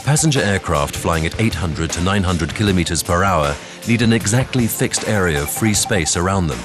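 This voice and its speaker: A man reading scripted documentary narration in a measured, instructional way. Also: low voice. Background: background music.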